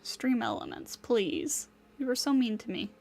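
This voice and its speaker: Woman, game streamer, high voice, unhurried, chatty, pleads with her software. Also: wildly swooping pitch, gravelly. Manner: greets chat by name. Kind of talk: casual live-stream chat.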